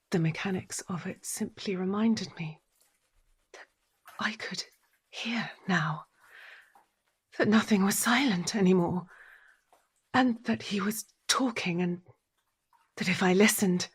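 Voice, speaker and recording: An actress playing a haunted, unhinged wife in a dramatic audio drama monologue, deliberate and intense, repeating phrases. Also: female voice, on the low side, slightly rough. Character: haunted, unhinged wife narrating a violent confession.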